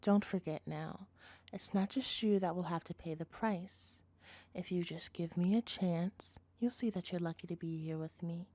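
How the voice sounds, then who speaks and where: A woman's voice: lowish voice, normal speed, dark tone, lightly acted. A woman playing an obsessive, doting captor girlfriend in a scripted audio roleplay, speaking directly to the listener in a cutesy tone with menace in it. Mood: quiet, menacing.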